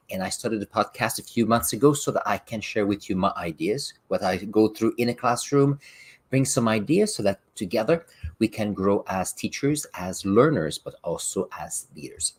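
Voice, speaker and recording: A man's voice: fairly low pitch, breathy edge. A middle-aged man whose first language is French, hosting his own podcast and explaining ideas with classroom examples. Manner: reflective, explains with examples, occasional restarts. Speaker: podcast host and science teacher. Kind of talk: solo podcast talk.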